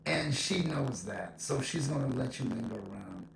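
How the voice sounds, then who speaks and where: A man's voice: mid-range voice, animated, normal speed, gravelly. A man giving a casual, conversational opinion monologue, repeating his points for emphasis, with an echo effect on his voice. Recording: echoing voice with faint noise.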